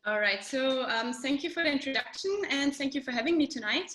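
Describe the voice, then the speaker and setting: A woman from Austria, a space architect giving a clear, explanatory webinar presentation with frequent ums. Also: sits high, expressive, brisk, a bit bright, slight background noise. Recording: roomy echo.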